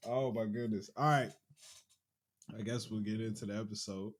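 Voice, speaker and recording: A man's voice: fairly low pitch, steady tone, moderate pace, slight background noise. A man hosting a casual podcast, laid-back and laughing often as he chats. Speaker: podcast host. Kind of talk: casual chat.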